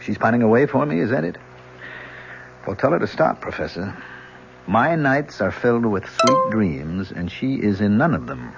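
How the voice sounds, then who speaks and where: An American actor playing an embittered, defiant young convict in an old radio drama, with a sarcastic, mocking delivery. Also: male voice, mid-range voice, expressive, normal speed, creaky. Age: young adult. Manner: sarcastic, sneering. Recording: muffled, faintly hissy old radio recording.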